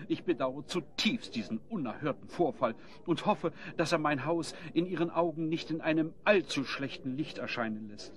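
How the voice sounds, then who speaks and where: A man's voice: on the high side, expressive, moderate pace, hardly any pauses, close to an everyday voice. A man playing an obliging innkeeper in a children's audio drama, formal, courteous and deferential. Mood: apologetic, welcoming.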